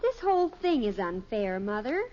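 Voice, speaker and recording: An actress playing an indignant, sarcastic teenage daughter in a 1950s radio sitcom, always complaining. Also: female voice, mid-range voice, big pitch swings, moderate pace, a little mellow, lightly acted. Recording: muffled, faintly noisy vintage radio recording.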